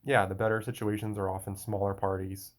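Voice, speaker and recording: Young adult man, lowish voice, loose rambling solo talk with many restarts. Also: little pitch movement, fairly quick. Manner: rambling, frequent restarts and fillers. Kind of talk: casual solo monologue.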